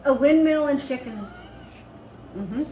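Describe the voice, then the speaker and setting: A woman's voice: high-pitched, slow pace, warm tone. A woman chatting casually as co-host of a homestead vlog. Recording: roomy echo, background noise.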